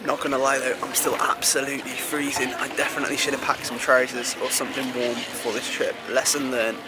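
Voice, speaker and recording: A man's voice: mid-range voice, flat delivery, brisk, heavy background noise. A young man talking casually to viewers in a travel vlog, filmed at arm's length on a camera mic. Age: young adult. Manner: chatty, upbeat, addresses viewers directly. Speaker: travel vlogger. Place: outdoors by a river and in a bedroom. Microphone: camera mic, selfie at arm's length.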